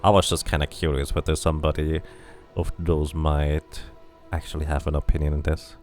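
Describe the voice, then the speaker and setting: A man's voice: rumbling low, level tone, normal speed, a little mellow, soft background music. A man streaming a fantasy game, chatting casually and thinking aloud to his viewers. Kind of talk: casual let's-play commentary.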